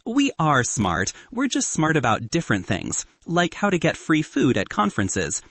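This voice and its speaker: Guy doing a quirky young woman scientist, playful and jokey, husky voice that swings around a lot, dry sound. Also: fairly high pitch, huge pitch swings, moderate pace, a bit bright, no room sound, voice pushed up, heavily acted. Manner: playful, joking.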